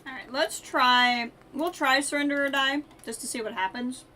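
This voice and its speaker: Bright, higher-voiced woman live-streaming. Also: moderate pace. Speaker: game streamer. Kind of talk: casual live-stream game commentary and chat. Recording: keyboard clatter, faint noise.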